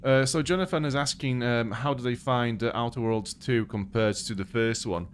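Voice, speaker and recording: A man's voice: medium pitch, normal speed, slight background noise. A man from Poland with a British accent, born Polish-speaking, chatting casually as he plays a game on a live stream and thinks aloud. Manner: relaxed, thinking aloud while playing.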